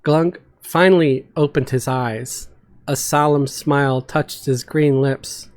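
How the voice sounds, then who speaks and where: A man's voice: on the high side, steady tone, slow. A man giving an amateur dramatic reading of a fantasy story as its narrator.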